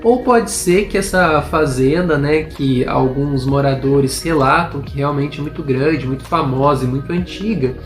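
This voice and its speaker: A young man from northern Paraná with a caipira Portuguese accent, hosting a history podcast in an informal, hesitant way, with many fillers and restarts. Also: a little high. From Norte Pioneiro, Paraná, Brazil. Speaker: podcast host, history student. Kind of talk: informal spoken podcast narration.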